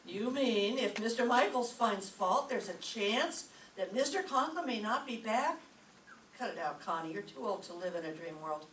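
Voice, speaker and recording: Wry, wisecracking female teacher played by a woman for radio comedy; very animated, slightly high, far-off mic. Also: normal speed, lightly acted. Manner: dry wisecracking delivery. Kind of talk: old-time radio comedy acting. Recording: echoey stage, distant mic, faint noise.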